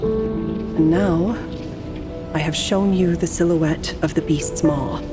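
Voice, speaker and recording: A woman voicing a serene, millennium-old painter and guardian in a video game, calm, formal and lyrical. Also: low-pitched, takes it slowly. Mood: solemn, foreboding. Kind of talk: poetic scripted game dialogue. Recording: music under the voice.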